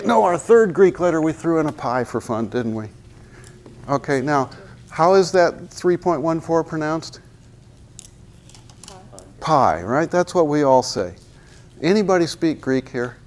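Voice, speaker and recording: A man's voice: on the high side, expressive, unhurried, pauses often, darkish tone. A man in his sixties giving a university physics lecture in a large hall, wearing a lapel mic, joking and asking the class rhetorical questions as he walks to the chalkboard. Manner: walks and points at the chalkboard while talking.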